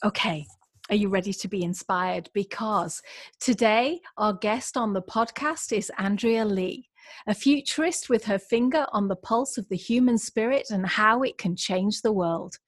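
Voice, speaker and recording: A woman's voice: medium pitch, measured pace, no room sound, studio quality. A woman hosting a podcast, introducing and interviewing her guest with enthusiasm. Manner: enthusiastic, warm praise and laughter. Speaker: podcast host.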